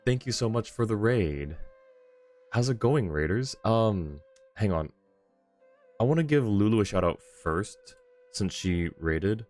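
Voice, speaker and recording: Deep-voiced man hosting a gaming stream. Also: expressive, a little slow, long pauses, darkish tone, soft background music. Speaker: livestream host. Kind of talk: casual gaming stream chat.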